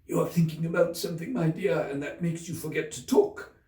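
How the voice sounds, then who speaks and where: A man voicing a gushing, moralizing old Duchess for a storybook, with theatrical, drawn-out, sing-song pronouncements and overbearing affection. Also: on the high side, fairly even intonation, warm tone, pitched above the performer's own voice, close to an everyday voice. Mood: gushing, fussy. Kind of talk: theatrical storybook character reading. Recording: roomy, echoey, distant from the mic.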